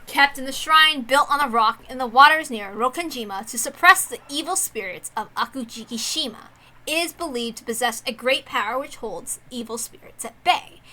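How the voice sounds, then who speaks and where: A woman's voice: on the high side, sharp tone, rough recording. A woman reading visual novel text aloud expressively as a streamer, with casual asides in between. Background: game soundtrack music.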